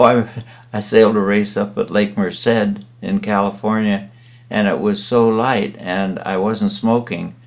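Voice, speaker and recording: An older man, a painter and sailor, casually reminiscing as he narrates a slideshow in a rambling way. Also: on the low side. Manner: rambling, with fillers and restarts.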